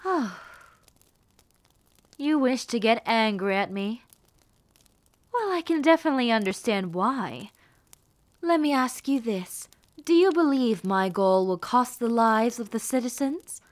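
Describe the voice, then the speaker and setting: A woman playing a haughty, menacing rebel mistress in a fantasy audio roleplay, speaking formally and condescendingly to the listener with theatrical pauses. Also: a little high, lively intonation, no room sound. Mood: stern warning. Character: haughty, menacing villainess, rebel mistress with sweet cruel poise.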